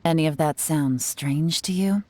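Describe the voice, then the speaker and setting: An actress playing a poised, shrewd young woman investigator in a video game, measured and slightly theatrical, with courteous phrasing. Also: sits low, darkish tone, slight background noise. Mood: shrewd, skeptical. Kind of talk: scripted video game voice acting.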